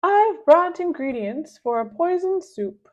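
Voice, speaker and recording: A woman's voice: very high voice, sing-song, a little slow, pitched above the performer's own voice, full character voice. A woman voicing an eager imaginary male friend who gleefully plots mischief, in a dull lo-fi recording.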